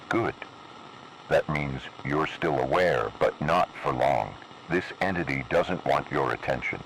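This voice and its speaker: An extremely low-voiced, ominous announcer acted by a man reads an analog horror emergency warning. The delivery is grave, deliberate and cryptic, over a lo-fi radio broadcast with faint noise. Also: gravelly, fairly bright tone, full character voice.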